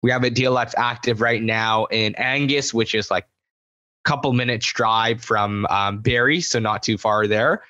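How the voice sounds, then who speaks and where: A man's voice: medium pitch, measured pace, dry recording, dull audio. A young man co-hosting a real estate podcast in casual chat, rambling with fillers on a close studio mic. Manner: relaxed, rambling with fillers and swearing. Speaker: podcast co-host. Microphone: new studio mic, close.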